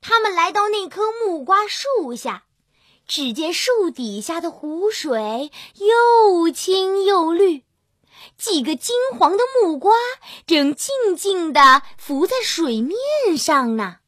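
A woman storyteller narrating a scripted fairy tale for young children in an expressive, drawn-out manner. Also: very high voice, no room sound, clean studio sound. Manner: expressive, drawn-out storytelling with gentle sentence particles. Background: children's music.

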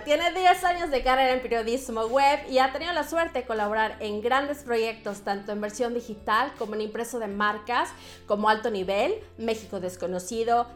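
A woman's voice: fairly high pitch, a bit bright. A woman hosting an interview, chatty and upbeat. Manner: chatty, upbeat, many fillers. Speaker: podcast host. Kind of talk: casual interview.

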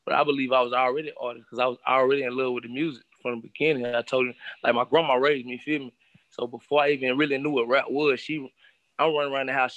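Fast-talking young man from Charlotte. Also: mid-range voice, a bit bright, no room sound, muffled recording. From Charlotte, North Carolina. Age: 20s. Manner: streetwise slang, constant "you know what I'm saying". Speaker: rapper guest. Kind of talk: casual interview.

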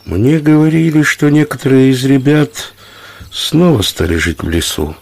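Old friar, loyal, warm-hearted, imploring, lively swooping intonation, radio play. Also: male voice, medium pitch, normal speed, vocal fry, a little hiss or hum. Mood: wistful, secretive.

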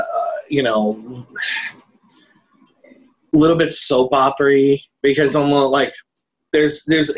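A man with a medium-pitched voice talks as a podcast co-host in a casual discussion. His delivery is halting, with hedges as he works through what he wants to say. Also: low-quality recording.

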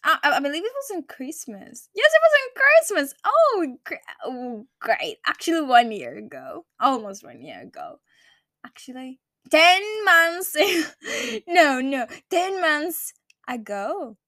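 A woman's voice: high voice, very animated, takes it slowly, bright, forceful tone, no echo, studio quality. A woman in her twenties, talking casually and frankly in a rambling personal monologue, often correcting herself. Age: 20s. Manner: rambling, self-correcting, frank with swearing and laughs. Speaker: podcast host.

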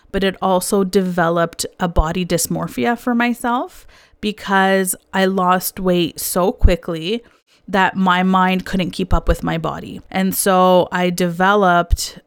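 A woman's voice: lowish voice, smooth, no room sound, clean studio sound. A woman from Canada, hosting a podcast and telling her own story in an earnest, candid, confessional monologue. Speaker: podcast host. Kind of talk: personal reflective monologue.

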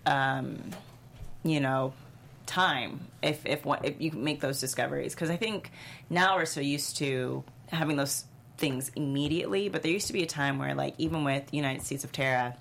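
A woman's voice: a bass voice, normal speed, creaky, slight background noise. A woman chatting casually as a panelist in a group discussion. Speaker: table read panelist.

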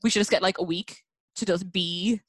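An Irish woman guesting on a podcast, chatting in an animated, storytelling way with lots of filler words. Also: fairly low pitch, moderate pace, no echo. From Ireland. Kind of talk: casual chat.

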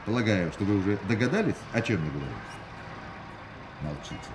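A man's voice: lowish voice, lively intonation, brisk, background noise, close to an everyday voice. An actor playing a grandiose, mocking con man who leads a gang, speaking in a pompous, ironic, oratorical way in a radio play. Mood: mocking, commanding. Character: grandiose, mocking male swindler leading a gang. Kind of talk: Russian radio play acting. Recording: radio drama with music behind.

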